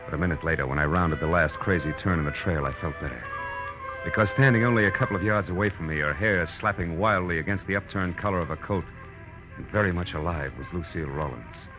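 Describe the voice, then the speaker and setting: An actor playing a tough, wry private eye in a 1940s radio crime drama, speaking in clipped slang on an old recording. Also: male voice, deep voice, quick pace, lo-fi sound, music in the background, natural voice. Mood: grim narration. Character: hard-boiled male private eye, wry, tough and insistent. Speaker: detective and first-person narrator.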